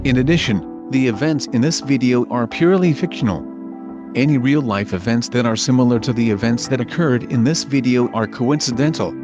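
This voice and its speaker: A man reading a scripted disclaimer as a narrator, in a formal, measured way. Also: mid-range voice. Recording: dull lo-fi sound under loud music. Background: music.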